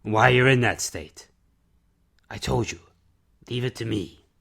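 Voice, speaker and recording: A man playing an older reclusive character in an amateur audio drama, calm, firm and fatherly, speaking in terse, measured phrases. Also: medium pitch, vocal fry. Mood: reassuring, steady.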